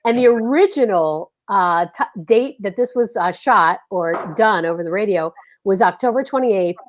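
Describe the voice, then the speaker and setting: An excitable woman from Philadelphia cohosts a casual online chat over a video call. She gushes and talks at an even middle pitch. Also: moderate pace, a little hiss or hum. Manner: excitable, gushing. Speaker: host.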